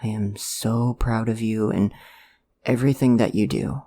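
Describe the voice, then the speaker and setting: Someone acting as a nervous loving dad for an asmr roleplay, jokey, kinda stammery, lots of vocal fry. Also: medium pitch, steady tone, dark tone. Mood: tender, reassuring. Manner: halting, stammering, conversational. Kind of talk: ASMR audio roleplay, one-sided dialogue.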